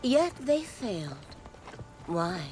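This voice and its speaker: A woman's voice: on the high side, sing-song, slow, over music. A woman playing a seasoned warrior and royal adviser in a video game, assured and direct. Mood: teasing, intimate. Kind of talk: video game cutscene acting.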